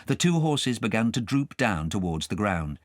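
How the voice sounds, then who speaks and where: A man reading a children's story aloud as an expressive audiobook narrator. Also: fairly low pitch, moderate pace, no room sound, studio quality.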